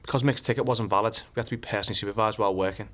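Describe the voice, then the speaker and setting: An actor playing a young scaffolder, guarded and defensive, in a naturalistic training film drama. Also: male voice, on the low side, steady tone, racing, fairly bright tone, natural voice. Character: young male scaffolder, uneasy, defensive, follows procedure.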